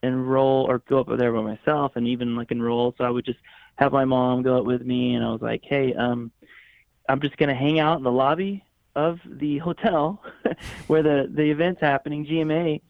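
A man's voice: medium pitch, fairly even intonation, normal speed, creaky, no echo. A man who grew up in Florida, a songwriter and producer, chatting casually as an interview guest and rambling with many restarts and easy laughs. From Florida, USA. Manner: rambling, self-correcting, laughs easily. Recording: dry, phone-like line.

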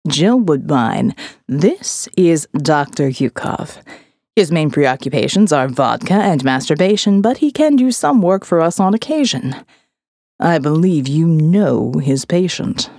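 A sardonic man voiced by a woman speaks in an audiobook with a slow, deadpan delivery. The voice is dropped far down and mellow, in a very dry recording. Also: deep voice, no room sound, pitched below the performer's own voice, full character voice. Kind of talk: audiobook character dialogue.

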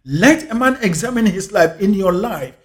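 A man's voice: high-pitched, lively intonation, normal speed. A man from Ghana with a Ghanaian English accent, giving an earnest reflective monologue and repeating key phrases for emphasis. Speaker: speaker on life and scripture.

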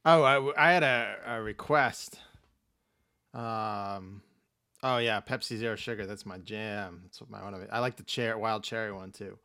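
A laid-back podcast host chats casually and rambles, often laughing. His voice is gravelly and bright, with a dry, close recording. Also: fairly low pitch, normal speed, no echo. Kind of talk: casual chat.

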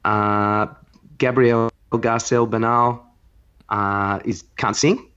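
A man's voice: medium pitch, fairly even intonation, slow, fairly bright tone, smooth, dull audio. A man co-hosting a podcast, chatting casually and comically in a rambling, blunt way, swearing and acting out quotes as he recaps an awards show. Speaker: podcast co-host.

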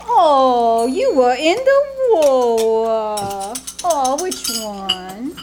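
Woman acting high-pitched, melodramatic young starlet. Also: very animated, extremely slow, heavily acted. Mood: gushing, naive. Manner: theatrical, mock-tragic, gushing. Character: dramatic, scatterbrained young aspiring film actress. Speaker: actor in old-time radio troupe. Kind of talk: 1950s radio comedy acting, live on stage. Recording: live stage recording with faint noise.

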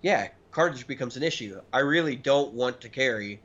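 A man's voice: mid-range voice, expressive, normal speed, fairly bright tone, highs cut off. A man in his early thirties chatting casually and emphatically as a talkative podcast co-host. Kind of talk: casual chat about gear and recording.

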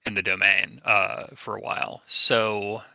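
A man's voice: fairly low pitch, little pitch movement, measured pace, vocal fry, bright, forceful tone, no echo, dull audio. A man playing a tabletop roleplaying game, talking casually and thinking aloud as he rephrases his ideas.

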